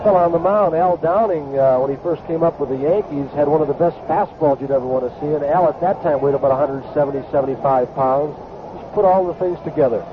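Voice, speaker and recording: A man's voice: fairly high pitch, fast talker. American guy doing baseball color commentary, explains stuff, tinny old broadcast w lots of background noise. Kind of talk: analytical sports commentary. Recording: thin, noisy vintage broadcast.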